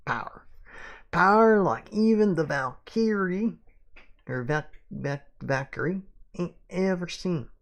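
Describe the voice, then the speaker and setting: A man's voice: high-pitched, expressive, measured pace, pitched above the performer's own voice. A man voicing a greedy, swaggering, corrupt frontier sheriff with a folksy Southern drawl in a Western fantasy story. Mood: grandiose, hungry. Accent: American Southern drawl. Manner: folksy, boastful cowboy talk.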